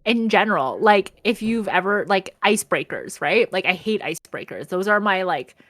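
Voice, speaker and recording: A young woman talks casually as a podcast co-host. Her voice sits at an average pitch for a woman. The sound is dry, with very little room in it. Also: animated, normal speed, fairly bright tone, no room sound. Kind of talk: casual chat.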